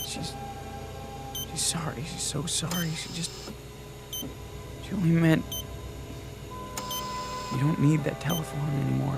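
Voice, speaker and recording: An American man acting in a radio drama as an anguished father at his young son's bedside, halting and pleading. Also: on the high side, creaky. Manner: halting, pleading, repeats words.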